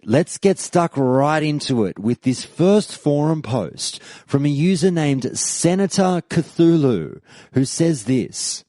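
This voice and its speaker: A man's voice: fairly high pitch, animated, slow pace, warm tone, no room sound, studio quality. A man hosting a podcast and reading a scripted history segment with emphatic delivery and rising questions. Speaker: podcast host.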